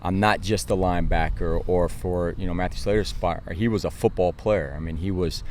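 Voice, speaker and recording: Deep-voiced American football coach. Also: male voice, fairly even intonation, moderate pace, darkish tone, a little hiss or hum. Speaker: football coach, interview guest. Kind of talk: casual interview answers.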